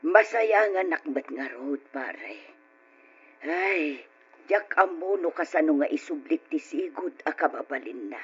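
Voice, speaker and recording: Really raspy old ilocano lola, worried and long-suffering, old radio drama, muffled sound. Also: female voice, low voice, lively intonation, unhurried, heavily acted. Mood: worried, sorrowful. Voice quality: husky, rough. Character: worried elderly mother and grandmother, long-suffering.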